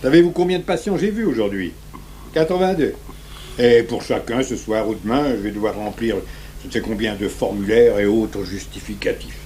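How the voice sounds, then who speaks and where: An actor playing a weary family doctor in a radio drama, speaking emphatically and articulately. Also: male voice, on the low side, moderate pace, darkish tone, natural voice. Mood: weary, bitter. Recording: faintly noisy 1980s radio recording.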